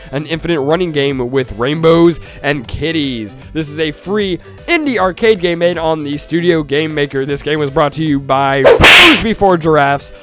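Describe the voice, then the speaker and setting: A young man with a cold and a stuffy voice, giving excited let's-play commentary on a game and shouting at it now and then. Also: a little high. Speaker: gaming YouTuber.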